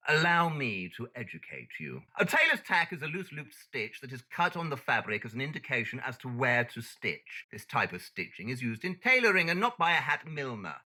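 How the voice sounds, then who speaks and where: An arrogant Victorian detective in an audio drama. His voice is very bright and cutting, swinging widely in pitch as he lectures lesser minds with pompous impatience. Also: exaggerated voice.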